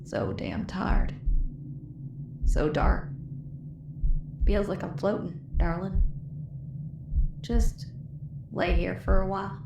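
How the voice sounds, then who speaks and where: A woman's voice: medium pitch, slow, pauses often, muffled recording. A woman playing a tough, bitter, foul-mouthed wasteland moonshiner, wounded and weary, telling her story with a Southern US accent in an audio drama. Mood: exhausted, fading. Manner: folksy, profane, weary storytelling. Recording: roomy echo, clear background noise.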